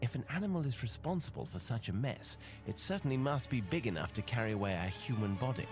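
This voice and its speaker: An actor playing a composed, rational detective who speaks in a measured, formal, analytical way in a video game. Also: male voice, mid-range voice, lively intonation, music in the background, lightly acted. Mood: analytical, assured. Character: composed, rational male detective, skeptical of superstition. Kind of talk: video game cutscene voice acting.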